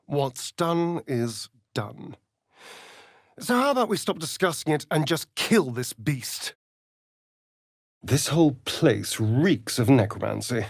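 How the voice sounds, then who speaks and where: A sly vampire rogue from a fantasy video game, vain and sardonic. He drawls slowly in an arch, flamboyant way, and his pitch swoops theatrically. The recording is dry and close. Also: animated, vocal fry, husky, no echo, heavily acted. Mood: disgusted.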